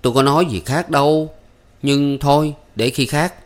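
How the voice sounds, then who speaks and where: A man's voice: a little high, steady tone, moderate pace, pitched above the performer's own voice, lightly acted. Man acting a plaintive, lovestruck southern Vietnamese farmhand. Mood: sulky. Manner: hesitant, plaintive. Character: lovestruck, timid farmhand man, pleading and sulky.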